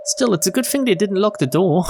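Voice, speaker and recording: Male game streamer, lively and expressive, lighter pitch, narrating and commenting casually. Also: brisk, gravelly, background noise. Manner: expressive reactions, casual swearing. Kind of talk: let's-play reading of a visual novel with casual commentary.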